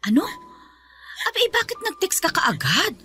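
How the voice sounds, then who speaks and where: Middle-aged Filipina mother-in-law, nagging, dismissive, lively pitch, radio drama, background music. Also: moderate pace, full character voice. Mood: indignant. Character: scolding middle-aged mother-in-law, indulgent of her son. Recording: music under the voice.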